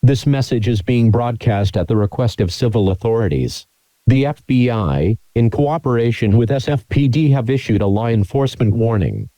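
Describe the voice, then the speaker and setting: A man reading scripted formal emergency broadcast announcements in a flat, impersonal, measured way. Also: medium pitch, no echo.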